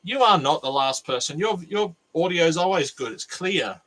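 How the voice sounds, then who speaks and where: A man's voice: fairly high pitch, lively intonation, moderate pace, a bit bright, low-quality recording. A nasal-voiced Australian man hosting a casual livestream from his workshop, thinking aloud and rambling with restarts. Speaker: maker livestream host. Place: home workshop. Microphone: cheap USB microphone.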